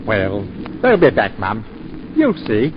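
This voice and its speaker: Humble old gardener. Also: male voice, fairly low pitch, wildly swooping pitch, slow, heavily acted. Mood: gentle. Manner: deferential, homely, rambling. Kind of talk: 1940s radio acting. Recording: muffled 1940s radio broadcast with hiss.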